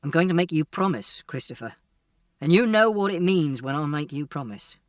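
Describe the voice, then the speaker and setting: A man's voice: a little high, lively intonation, normal speed, no echo, clean studio sound, distant mic, lightly acted. A man voicing a stern, exasperated father who scolds his son in a commanding, clipped way.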